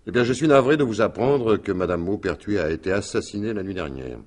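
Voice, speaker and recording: An actor playing a senior police commissioner in a French radio drama, measured, matter-of-fact and authoritative. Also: male voice, on the low side, lively intonation. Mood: grave, matter-of-fact. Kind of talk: 1950s-style French radio detective drama acting.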